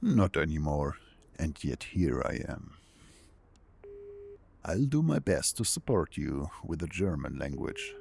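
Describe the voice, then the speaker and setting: An actor voicing a dry, matter-of-fact babysitter in an audio drama. The voice is very deep, gravelly and breathy, swinging widely in pitch, and it sounds set back from the mic. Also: very animated, unhurried, pauses often, darkish tone, full character voice. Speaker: helper translating.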